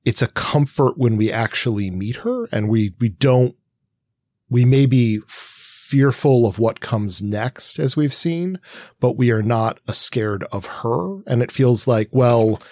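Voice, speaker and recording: A man co-hosting a literary podcast, musing aloud in a halting, conversational way and reworking his thoughts as he speaks. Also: mid-range voice, darkish tone, no echo. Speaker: podcast co-host. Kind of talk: conversational literary discussion of a comic.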